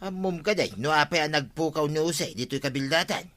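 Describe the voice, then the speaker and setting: A man's voice: on the high side, fairly quick, a bit bright, natural voice. An actor playing a troubled, stubborn village man in a comedy radio drama, given to grumbling and exclaiming. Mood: bitter, defensive.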